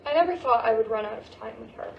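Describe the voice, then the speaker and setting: A woman's voice: fairly high pitch, expressive, quick pace, vocal fry, muffled recording. A woman playing a grieving, searching American teenage girl in an emotional monologue at a staged play reading. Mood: wistful, grieving. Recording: echoey stage recording with faint noise.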